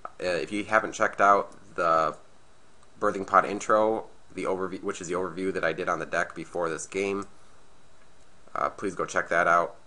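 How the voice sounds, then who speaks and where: A man with a slightly raspy, nasal voice from being sick, casually commentating a card game match and thinking aloud as he plays. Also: deep voice, steady tone, moderate pace, pushed and bright. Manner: thinking aloud, hesitant restarts. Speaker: gameplay commentator.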